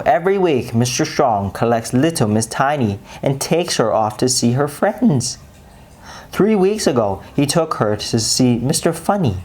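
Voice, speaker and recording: A woman narrating a children's bedtime story expressively, with dramatic pauses and deliberate emphasis. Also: very deep voice, darkish tone.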